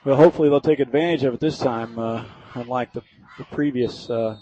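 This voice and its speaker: A man's voice: mid-range voice, brisk, mellow, a little hiss or hum. An American man giving informal, folksy color commentary during a live football broadcast. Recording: slightly dull, lo-fi radio sound.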